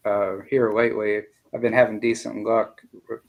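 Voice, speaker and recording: A man's voice: fairly low pitch, level tone, normal speed, gravelly, low-quality recording. A man about to turn fifty, hosting a casual interview with friendly, joking asides. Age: 40s. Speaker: interview host.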